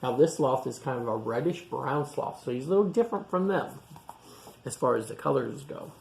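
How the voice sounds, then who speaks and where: A man's voice: medium pitch, fairly quick, gravelly, slight background noise. Echoey storytime man reading to children. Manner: child-directed, simple explanatory asides and questions. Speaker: storytime reader for young children. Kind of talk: read-aloud picture book with asides to children. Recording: echoey room sound.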